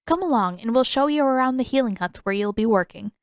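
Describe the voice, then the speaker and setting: A woman's voice: a little high, lively intonation, fast, smooth, dry recording, studio quality. A woman voicing a practical adult healer in a storybook, with a matter-of-fact, businesslike manner.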